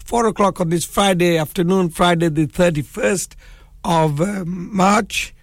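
Croaky-voiced hoarse radio presenter. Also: male voice, sits high, a little slow, dry recording, clean studio sound. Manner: relaxed and chatty, many fillers. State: hoarse with a cold. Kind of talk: live community radio show. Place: radio studio.

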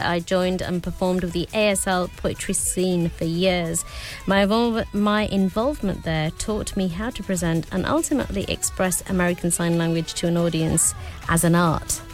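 Woman host, casual celebrity talk, hesitant with fillers, faint music behind. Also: mid-range voice. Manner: hesitant, many filler sounds.

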